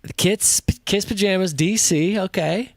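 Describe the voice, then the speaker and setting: A man's voice: high-pitched, measured pace, no echo. A man co-hosting a live stream, chatting casually and reading viewer comments in an easygoing, agreeable way.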